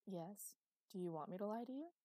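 Blunt exasperated man read by a woman, mellow tone, dry recording. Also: medium pitch, lively intonation, brisk, dry recording, natural voice. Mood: tender. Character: man, gruff worried partner.

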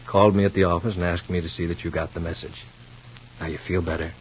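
A man's voice: sits low, little pitch movement, quick pace, natural voice. An actor playing an exasperated but protective, down-to-earth man in an old radio soap opera, chiding in a familiar way. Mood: casual, reassuring. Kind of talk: 1940s-50s radio soap opera acting. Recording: dull, hissy, humming old radio recording.

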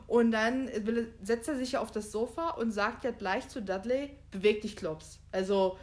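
A woman's voice: a little high, normal speed. A woman co-hosting a podcast, chatting casually and thinking aloud with loose asides. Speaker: podcast co-host. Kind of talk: casual chat, discussing a book and film.

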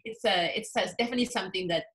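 A woman's voice: mid-range voice, very fast, fairly bright tone. A middle-aged woman from the Philippines, a podcast guest who talks in an earnest, enthusiastic conversational way with many fillers. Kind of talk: conversational interview.

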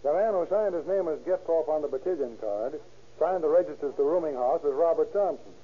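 A meticulous police handwriting expert in vintage radio drama. He has a slightly high voice and a matter-of-fact, respectful manner, heard through a tinny old recording. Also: animated, fast, husky. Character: precise, deferential male handwriting expert reporting to police. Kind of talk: 1930s-40s radio crime drama acting.

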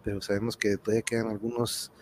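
A man's voice: fairly low pitch, level tone, quick pace. A man with a northern Mexican accent hosting a casual livestream, chatting and dropping in English phrases. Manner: sprinkles English phrases into his talk. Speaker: host of an online livestream show. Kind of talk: casual livestream sign-off chat. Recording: clear background noise.

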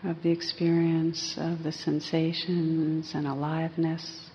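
A woman leads a guided meditation in a low, very even voice. She speaks gently and slowly, leaving pauses between phrases. The recording is slightly muffled. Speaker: meditation teacher. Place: live evening group session. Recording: slightly muffled live recording, faint hiss.

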